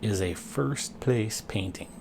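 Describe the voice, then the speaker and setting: A man's voice: on the low side, takes it slowly, creaky, background noise. A man voicing a cheerful, hungry husband in a children's storybook, exclaiming and drawing out words. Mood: proud.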